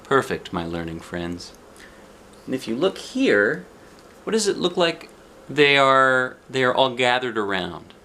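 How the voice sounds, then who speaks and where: A man's voice: mid-range voice, expressive, unhurried, bright, forceful tone. A man reading a children's picture book aloud expressively, with chatty asides and questions to the young listener. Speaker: storybook reader.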